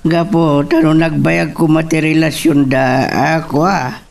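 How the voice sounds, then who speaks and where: Actor as creaky, high-voiced doting old father. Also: fairly even intonation, a little slow. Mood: fond, pleased. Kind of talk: Ilocano radio drama acting.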